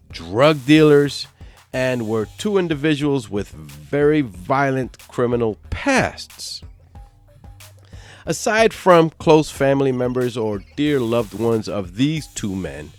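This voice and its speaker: Hoarse guy getting over a cold, stern true crime narration, lively pitch, faint music behind. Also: no echo. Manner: stern, emphatic delivery. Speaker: true crime channel host. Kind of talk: scripted narration.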